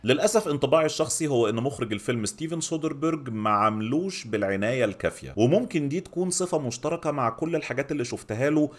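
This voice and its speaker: A man's voice: mid-range voice, animated, rapid-fire, few pauses. A middle-aged man with an Egyptian accent giving an opinionated film review to camera, speaking close to a microphone in a small home studio. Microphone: microphone on a boom arm, close up.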